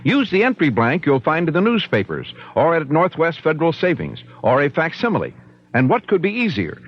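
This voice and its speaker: A man's voice: a little high, wildly swooping pitch, a little fast, breathy edge. A man reading a 1970s radio commercial as an announcer, in an upbeat salesman's delivery. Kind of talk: scripted 1970s radio ad read. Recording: dull lo-fi 1975 radio broadcast.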